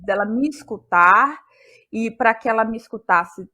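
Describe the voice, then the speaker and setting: A woman's voice: fairly high pitch, measured pace, sharp tone. A woman, reflecting on her creative process as an interview guest, searching for words and repeating them as ideas form. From Bahia, Brazil. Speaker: interviewed guest, playwright and actress.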